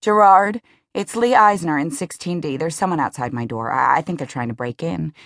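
A woman's voice: sits low, moderate pace, fairly bright tone, no room sound. A woman voicing an anxious young woman who frets over intruders and fusses over her upset friend. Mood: panicked. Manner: nervous, solicitous.

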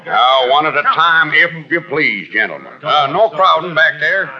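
Folksy frontier camp caretaker, lively swooping pitch. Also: male voice, a little slow. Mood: bossy, orderly. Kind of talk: old-time radio drama acting. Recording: dull vintage radio recording.

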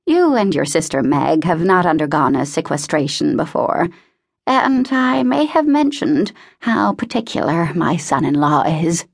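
A woman's voice: lowish voice, very animated, a little slow, creaky, full character voice. A woman voicing a crotchety, bossy old woman in a novel, speaking crossly, firmly and with emphasis.

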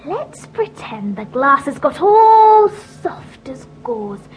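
An eager, imaginative little girl in an audiobook drama. Her voice is very high and lilting, with wide swings in pitch and a slow pace, over faint music. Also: sing-song, heavily acted, poor audio. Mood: playful.

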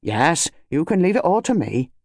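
An officious English village constable , acted by a man, eager to defer to his betters. The delivery is animated and close in a storybook reading. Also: a little high, moderate pace, no echo. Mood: deferential.

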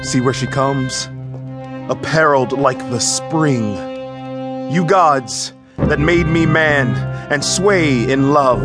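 An actor playing a bold, eloquent young prince in a Shakespearean audio drama, speaking in an earnest, declamatory way. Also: male voice, mid-range voice, natural voice. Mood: ardent.

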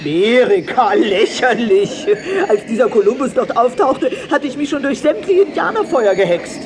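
A woman's voice: fairly high pitch, expressive, normal speed, a crowd in the background, natural voice. An actress playing a grumpy but caring old female witch, hundreds of years old, in a theatrical, scolding children's audio play style. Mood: boastful. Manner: theatrical, scolding, swooping exclamations.

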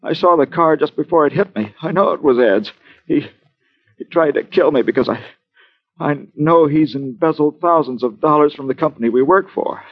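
A man's voice: mid-range voice, lightly acted. Actor as stammering, frightened bedridden man, muffled radio. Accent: American. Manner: halting, stammering, urgent. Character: anxious bedridden injured man, pleading and frightened. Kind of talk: old-time radio crime drama acting. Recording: muffled vintage radio broadcast recording.

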